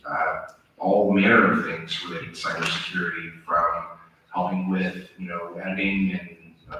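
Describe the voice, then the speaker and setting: A man's voice: sits low, bright, forceful tone, a little hiss or hum. A man introducing himself on a panel in long, articulate sentences. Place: lecture theatre. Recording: echoey room from far off.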